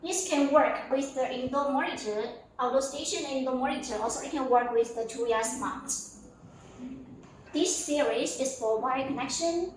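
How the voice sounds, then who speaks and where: A high-pitched woman presents products on a showroom tour in simple, halting phrases, repeating herself as she goes. She sounds away from the mic in a very echoey room. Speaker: company sales representative giving a showroom tour. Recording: echoey room, distant mic, faint noise.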